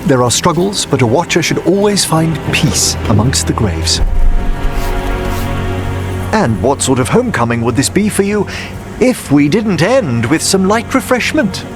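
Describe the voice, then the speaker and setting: An actor playing a genteel, middle-aged necromancer in a fantasy video game, with formal, eloquent and measured diction. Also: male voice, on the high side, sing-song. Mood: courteous. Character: genteel, learned necromancer man, courtly and wordy. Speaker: companion character in a fantasy game. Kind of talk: dramatic video game dialogue. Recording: music behind the voice.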